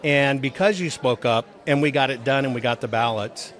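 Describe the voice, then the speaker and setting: A man's voice: medium pitch, normal speed, a bit bright. A man giving conversational interview answers, explaining things in an earnest, emphatic way. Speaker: interview guest, audit presenter.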